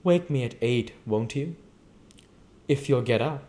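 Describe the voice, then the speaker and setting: A man's voice: medium pitch, a little slow, mellow, voice pushed up, natural voice. A man voicing a cool, self-possessed young woman athlete in a novel, with a languid, arch manner. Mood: offhand.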